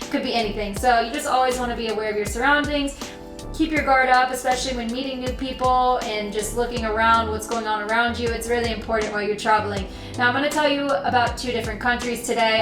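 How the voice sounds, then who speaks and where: A woman's voice: a little high, far from the mic. Earnest young American woman, echoey room. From United States. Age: young adult. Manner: earnest, rambling, repeats herself. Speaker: travel vlogger. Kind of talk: casual talk to camera. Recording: roomy echo, voice off-mic, music behind.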